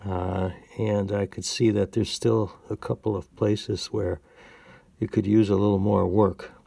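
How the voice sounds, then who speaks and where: A man casually narrating a hands-on repair as a hobbyist, hesitant and pausing often, recorded on a handheld phone. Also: fairly low pitch, flat delivery, creaky, dark tone, slightly rough, dry recording. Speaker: hobbyist radio restorer.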